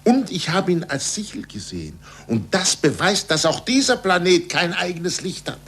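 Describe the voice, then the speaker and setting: Fervent astronomer in an old radio play, highish bright voice, really theatrical. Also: male voice, sing-song, moderate pace, heavily acted. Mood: excited, triumphant. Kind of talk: 1940s German radio play acting. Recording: husky-edged, bright 1940s radio recording.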